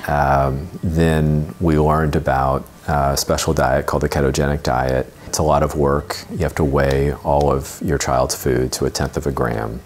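A man in his forties telling his family's story in an interview, seated on a living room sofa, speaking steadily with many pauses. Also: very deep voice. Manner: measured, steady telling with frequent uh fillers. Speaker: parent interviewee. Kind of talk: interview testimonial.

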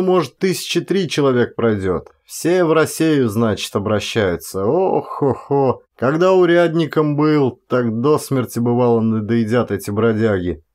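A man voicing a blustering former policeman turned forest guard in an audiobook, with a folksy, loud, grumbling manner. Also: medium pitch, lively intonation, moderate pace, few pauses, lightly acted.

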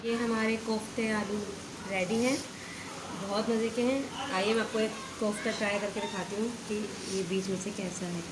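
A woman's voice: medium pitch, fairly even intonation, normal speed. A woman in a home kitchen tasting a finished dish and speaking directly to viewers in a friendly, casual way. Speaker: co-host of a home cooking channel. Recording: home video with noticeable background noise.

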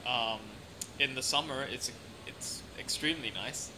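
A man's voice: medium pitch, a little slow. A young adult man with a mixed international, lightly British accent, chatting casually as an interview guest. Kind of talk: casual chat. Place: café or restaurant at night. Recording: clearly noisy room ambience.

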